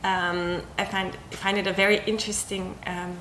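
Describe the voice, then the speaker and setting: A woman's voice: mid-range voice, level tone, moderate pace, fairly bright tone, echoey room, slight background noise, rough recording. A young woman from Belgium, a circus artist, talking casually about her work, hesitant with many ums and restarts. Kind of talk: casual interview talk.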